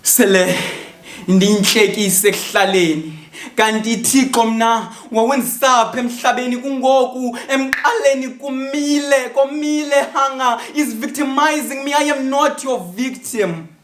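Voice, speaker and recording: A woman's voice: sits high, fairly even intonation, measured pace. Echoey room, woman acting defiant hungry woman, South African accent, declamatory stage poetry. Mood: anguished. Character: defiant hungry woman addressing Hunger as her tormentor. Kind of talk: dramatic spoken-word poetry.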